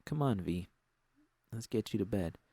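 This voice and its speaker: A man voicing a tender young woman who gently coaxes her sleepy lover in an audiobook story. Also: fairly low pitch, expressive, normal speed, breathy edge, no echo, clean studio sound. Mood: gentle coaxing.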